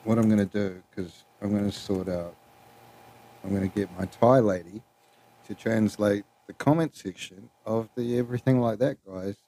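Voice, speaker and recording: A man's voice: lowish voice, normal speed, dark tone, slight background noise. A man hosting his own podcast alone, rambling loosely between clips, swearing and trailing off. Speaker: podcast host. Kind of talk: casual solo podcast chat.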